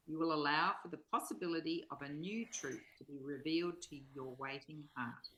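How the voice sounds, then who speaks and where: A woman with a low-pitched voice speaks in a measured, reflective manner, as in a spiritual study session. The room adds a noticeable echo around her words. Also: bright, forceful tone. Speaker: co-presenter reading and discussing a spiritual text. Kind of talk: slow read-aloud and reflective study conversation. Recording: roomy, echoing sound.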